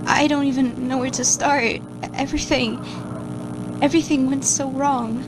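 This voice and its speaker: A woman voicing a shaken, guilt-ridden scientist who records a lab log for a horror series, halting and stammering anxiously. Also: high-pitched, steady tone, noisy background, lightly acted. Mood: shaken, regretful. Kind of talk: audio log monologue in an analog horror series.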